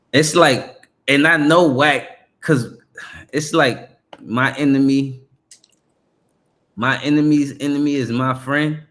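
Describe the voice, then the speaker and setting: A man hosts a reaction show and comments on clips in loose, slangy talk. He often restarts his sentences, stumbles over his words and laughs between remarks. His voice sits at a middle pitch. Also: a bit bright.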